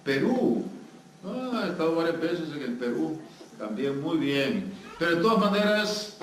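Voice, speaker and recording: A man's voice: on the high side, sing-song, a little slow. A man with a Salvadoran accent speaking as a guest pastor to a congregation, engaging listeners with questions in a joking manner. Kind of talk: sermon to a live congregation, interactive. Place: church hall with congregation. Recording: echoey hall, distant mic, noisy.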